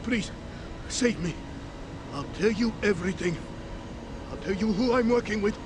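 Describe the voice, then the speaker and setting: A woman's voice: mid-range voice, animated, moderate pace, noisy background, lightly acted. A woman playing a panicked villain begging for life in an action video game, desperate and pleading.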